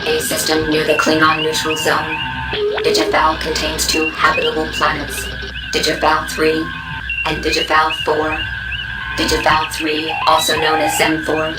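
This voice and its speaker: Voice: medium pitch, close to an everyday voice. A performer voicing an impassive starship computer in a sci-fi game, reading out records in a clipped, informational way. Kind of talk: scripted sci-fi game database readout. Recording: echoey, distant, over loud music.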